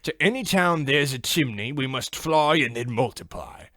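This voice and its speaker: A man's voice: mid-range voice, animated, normal speed, pushed and bright, no room sound, clean studio sound. A man playing a cocky, chatty little monstrous chimney sweep in an old-time radio horror comedy, telling tales with swagger and British slang. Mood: gleefully explaining.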